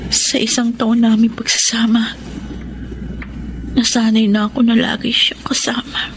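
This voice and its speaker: A woman's voice: fairly high pitch, completely flat delivery, a little slow, a little hiss or hum, close to an everyday voice. An actress playing a lovesick young woman student in an emotional Tagalog radio drama. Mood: longing, sad. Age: young adult. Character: lovesick young woman student missing her faraway boyfriend. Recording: loud music under the voice.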